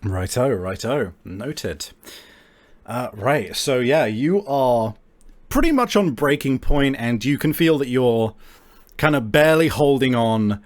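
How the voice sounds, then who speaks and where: A man's voice: mid-range voice, expressive, slow pace. A man hosting and narrating a tabletop game as game master, chatty and casual, often hesitating and rephrasing. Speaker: game master and show host.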